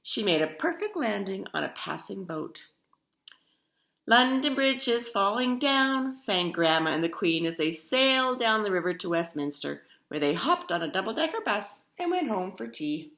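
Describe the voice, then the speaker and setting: A woman's voice: lowish voice, big pitch swings, normal speed, vocal fry, few pauses, a bit bright. A woman reading a children's story aloud in a theatrical, emphatic way, with chatty asides to the listener. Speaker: storybook reader.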